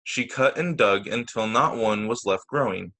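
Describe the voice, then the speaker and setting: A man's voice: on the low side, bright, forceful tone. A man reading a children's storybook aloud as a teacher, stressing key words expressively over a video call. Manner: deliberate emphasis on key words, with short asides to listeners.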